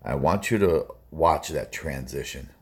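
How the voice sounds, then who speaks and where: A man with an extremely deep baritone hosts a music reaction video. He talks in a loose, rambling way, full of fillers. He keeps stressing his points with emphasis. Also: measured pace, darkish tone. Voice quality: low baritone voice. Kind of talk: casual reaction commentary.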